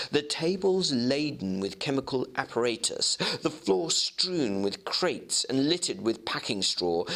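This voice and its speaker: A man narrating classic fiction in a theatrical, expressive way, as a dramatic reading. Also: medium pitch.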